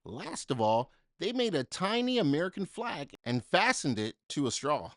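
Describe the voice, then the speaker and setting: A man reading a children's story aloud in an expressive, dramatic way, with deliberate emphasis for young listeners. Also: fairly high pitch, dry recording, clean studio sound. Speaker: storybook reader.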